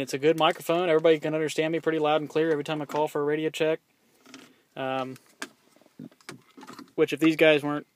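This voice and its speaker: A young man with a somewhat high voice talks through his CB radio in a loose, offhand way. He rambles, restarts sentences and fills pauses with ums. He sounds like a hobbyist vlogging from beside his car. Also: a little hiss or hum. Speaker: YouTuber reviewing his CB radio. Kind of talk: casual product review vlog.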